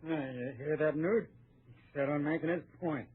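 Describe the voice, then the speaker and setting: A man's voice: on the high side, moderate pace, slight background noise, close to an everyday voice. An actor playing a cocky, hot-headed gunman in an old-time radio western, goading and boastful. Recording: thin, phone-like old radio recording.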